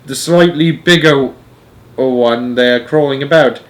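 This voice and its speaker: A man's voice: mid-range voice, slow pace, close to an everyday voice. A man voicing a cheerful, mild-mannered husband in a storybook, pleased and untroubled.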